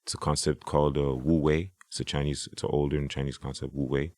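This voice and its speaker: A man's voice: very deep voice, flat delivery, quick pace, darkish tone, no room sound, studio quality. A man, a hip hop artist, talking casually as a podcast guest, rambling with restarts and "you know".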